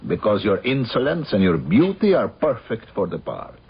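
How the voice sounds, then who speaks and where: Low, imperious, sinister film director. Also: male voice, sing-song, breathy edge, exaggerated voice. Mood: imperious, insinuating. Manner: formal, deliberate, commanding. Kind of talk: radio drama acting. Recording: muffled old radio broadcast, faint noise.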